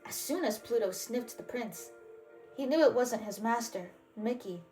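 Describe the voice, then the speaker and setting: A woman's voice: a little high, animated, measured pace, mellow, faint music behind, poor audio. A woman narrating a children's storybook as a read-aloud. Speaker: storybook reader.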